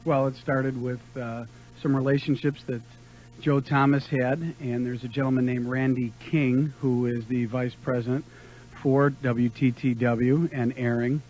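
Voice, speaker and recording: A man's voice: mid-range voice, level tone, unhurried, a little mellow. An American man answering questions as a guest in a radio interview. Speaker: interview guest, concert promoter. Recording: dull lo-fi radio broadcast recording.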